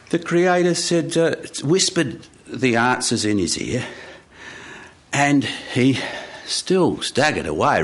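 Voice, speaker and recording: A man telling a folk tale live, in an animated storytelling manner with repetitive phrasing. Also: mid-range voice, slight background noise. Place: radio studio with live audience.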